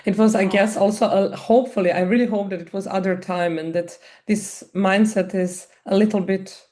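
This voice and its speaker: A woman's voice: mid-range voice, fairly even intonation, moderate pace, warm tone. A woman hosting an interview, asking questions and encouraging her guest with frequent affirmations. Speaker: podcast host. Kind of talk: casual interview conversation.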